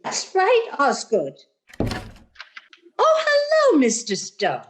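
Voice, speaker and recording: A woman's voice: very high voice, wildly swooping pitch, slow pace, slight background noise, full character voice. An actress playing a chatty, blithe older lady with an airy, sing-song delivery in a radio sitcom. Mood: cheery. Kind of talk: 1940s radio sitcom acting.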